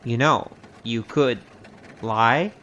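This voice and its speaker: A man's voice: medium pitch, very slow, sharp tone, highs cut off, slight background noise. A male actor playing a roguish, wry storyteller with a grandiose, theatrical manner in a comic audio drama. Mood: wry bargaining. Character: roguish, boastful storyteller, wry poor adventurer. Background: music.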